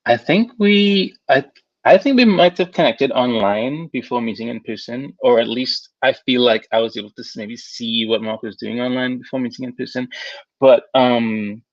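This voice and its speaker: A man's voice: mid-range voice, moderate pace, no room sound, muffled recording. A man who is an artist guest, talking in a loose, chatty way over a video call. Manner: loose and chatty, lots of "like" and "you know".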